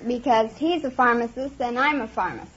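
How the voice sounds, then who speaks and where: A woman's voice: on the high side, fairly quick, a bit bright. A young woman giving brief, unscripted answers as a radio quiz contestant. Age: young adult. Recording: noisy, muffled old radio recording.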